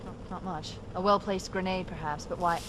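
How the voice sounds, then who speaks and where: An American woman voicing a quick-witted, wry female ship AI with brisk, expressive delivery in a video game cutscene. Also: on the low side, background noise, close to an everyday voice. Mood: wry, worried. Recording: loud music behind the voice.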